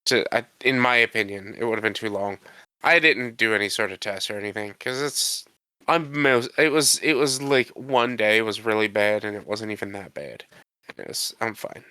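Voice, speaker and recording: A man's voice: fairly low pitch, moderate pace, bright, forceful tone, smooth, dry recording. A man co-hosting a podcast while congested from a cold, rambling and defensive in casual chat. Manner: rambling, defensive, restarts often. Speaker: podcast co-host.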